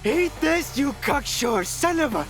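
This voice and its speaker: A man's voice: extremely high pitch, very animated, unhurried, over music, heavily acted. A man playing a masked sadistic serial killer in an audio drama, cowardly, foul-mouthed and theatrically menacing. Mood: menacing rage.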